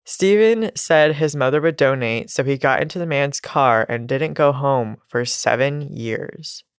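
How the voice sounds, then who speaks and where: A woman's voice: a bass voice, measured pace, smooth, dry recording, clean studio sound. A nasal, congested woman telling a true crime story on a casual podcast, with laughs and asides. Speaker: podcast co-host.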